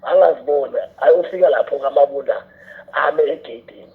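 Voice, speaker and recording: A man's voice: mid-range voice, fairly quick, vocal fry. A man with a South African accent explaining things in an interview, posing rhetorical questions and dropping in English phrases, through a narrow phone-like recording. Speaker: radio drama scriptwriter and teacher, interview guest. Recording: tinny, narrow phone-like sound.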